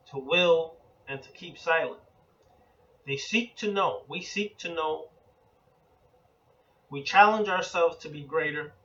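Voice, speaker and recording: A man giving a spoken lecture as an occult teacher, measured and deliberate, building his points in lists. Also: a little high, fairly bright tone, far from the mic, muffled recording.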